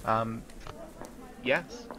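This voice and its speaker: A man acting in a radio play as a gentle, tactful fellow, speaking in a hesitant, understated, naturalistic way. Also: mid-range voice, sing-song, drawn-out words, background noise, full character voice. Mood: apologetic. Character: gentle, tactful man patiently humoring a talking building.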